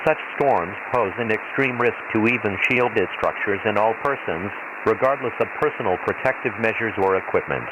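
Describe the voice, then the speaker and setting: Male actor as sci-fi radio weather announcer: lowish pitch, formal, impersonal, measured bulletin delivery through a noisy, hissing transmission. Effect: radio transmission filter. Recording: hissy, noisy shortwave-style radio broadcast.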